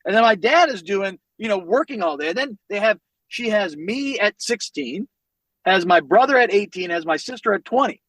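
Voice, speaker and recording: Animated, swearing man telling family stories. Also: high-pitched, normal speed, no echo, low-quality recording. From United States, Northwest Indiana. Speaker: podcast guest. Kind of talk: casual storytelling conversation.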